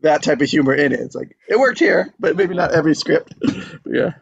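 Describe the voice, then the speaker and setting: A man's voice: fairly high pitch, lively intonation, normal speed, creaky, breathy edge, lo-fi sound. A man hosting a table read, talking in an informal, rambling way as he chats with the group. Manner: informal, rambling, quotes own thoughts. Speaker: host of a live script table read. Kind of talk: casual group chat.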